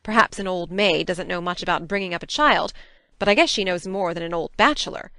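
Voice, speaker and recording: A woman playing a stern, practical middle-aged spinster farm woman in an audiobook drama, crisp and scolding in manner. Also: fairly low pitch, fairly quick, bright, forceful tone, no echo, studio quality. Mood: grudging, firm.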